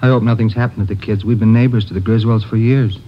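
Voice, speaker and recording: An actor playing a polite, helpful neighbor who is eager to please, in a vintage radio drama. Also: male voice, on the low side, fairly even intonation, fast talker, close to an everyday voice. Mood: polite, obliging. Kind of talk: 1950s radio drama acting. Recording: muffled, noisy vintage radio recording.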